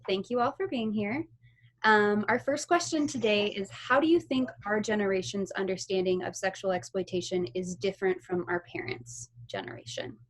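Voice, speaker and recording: Moderator: young woman, mid-range voice, clear measured questions. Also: mid-range voice. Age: young adult. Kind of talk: asking panel questions.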